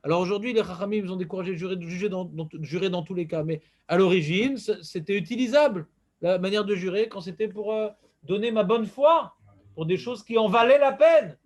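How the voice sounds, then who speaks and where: High-pitched male teacher running a casual class, playful and teasing. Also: brisk. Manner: joking, banters with students, animated. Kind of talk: informal Torah class with questions to students.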